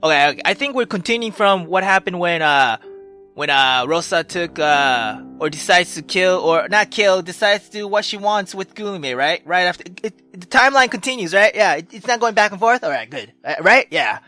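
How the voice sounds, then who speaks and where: Male let's play host: exclamatory, incredulous, widely varying pitch, casual commentary over clear background music. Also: animated, moderate pace, bright, forceful tone. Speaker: gaming video host reading a visual novel.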